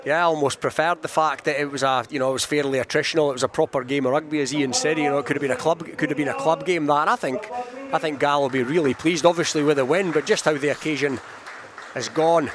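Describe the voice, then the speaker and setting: A male rugby pundit, giving live analysis in a halting way with repeated words. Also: fairly high pitch, fairly even intonation, crowd noise. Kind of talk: live post-match analysis.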